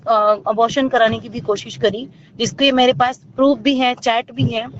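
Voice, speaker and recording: A woman giving her account as an interview guest over a phone call, speaking in an emphatic, accusatory way. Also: on the high side. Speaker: interview guest, actor and singer. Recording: dull lo-fi phone recording, clear noise.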